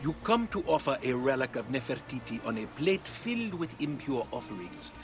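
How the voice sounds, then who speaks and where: Solemn accusing temple spirit, medium pitch that moves around, game music. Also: male voice, big pitch swings, normal speed. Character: reproachful, pious male sacred guardian vision.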